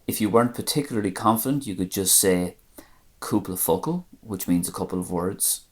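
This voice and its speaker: A man with an Irish accent teaching a language lesson, saying phrases slowly and carefully one at a time. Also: fairly low pitch, expressive, darkish tone. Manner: slow, careful phrase-by-phrase pronunciation.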